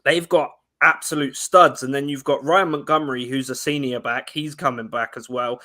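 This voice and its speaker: A young man from England, raised there, talks football as a guest in casual chat. He runs through the details quickly and restarts his sentences as ideas crowd in. His voice sits at an ordinary, middle pitch. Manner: fast, animated football breakdown with frequent restarts. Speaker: guest analyst.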